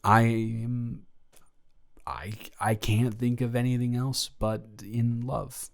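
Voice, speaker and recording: Man playing a slow, halting lovestruck college guy. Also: medium pitch, flat delivery, gravelly. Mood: stunned, tender. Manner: halting, stammering, earnest, wordy. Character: lovestruck, nervous young male college dormmate, earnest and adoring. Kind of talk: intimate romantic roleplay audio drama.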